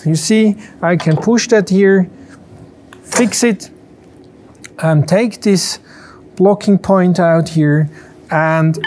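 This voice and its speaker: Halting, higher-voiced executive man. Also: darkish tone, a little hiss or hum. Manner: halting, many restarts and fillers. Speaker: company CEO. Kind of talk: unscripted corporate interview and demo. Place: large company showroom with machines.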